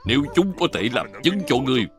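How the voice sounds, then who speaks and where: A man's voice: medium pitch, sing-song, fast, vocal fry. A man playing a stern, accusing, imposing stone lion guardian in a dubbed cartoon. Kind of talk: dubbed children's cartoon acting. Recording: music behind the voice.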